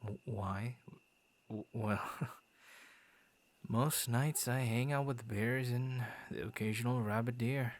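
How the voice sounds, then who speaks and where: A man's voice: mid-range voice, fairly even intonation, slow pace, long pauses, lightly acted. A man voicing a friendly, bashful werewolf who guards his forest, stammering and playfully theatrical, in an ASMR boyfriend roleplay. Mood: amused, welcoming.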